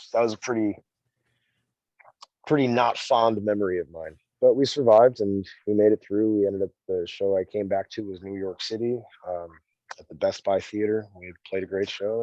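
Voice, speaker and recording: Low-voiced laid-back male singer, dull dry audio. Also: darkish tone, dry recording. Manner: easygoing, rambling, calls the host "man" and "dude". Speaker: band singer. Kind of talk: casual interview talk.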